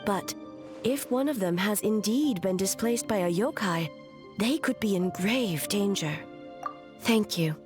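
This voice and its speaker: A woman's voice: medium pitch, expressive, lightly acted. Solemn, composed noblewoman played by an actress. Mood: grave. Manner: formal, measured, composed. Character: dignified, solemn noblewoman in command, composed and grave. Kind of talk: video game character acting. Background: background music.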